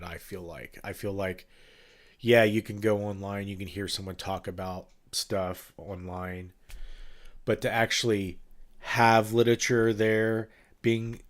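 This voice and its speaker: Male streamer, lowish pitch, nasal and stuffed up, rambling casual host chat. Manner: rambling, loose, many fillers and restarts. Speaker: livestream host and ghost-hunting event organizer. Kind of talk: casual livestream chat with viewers.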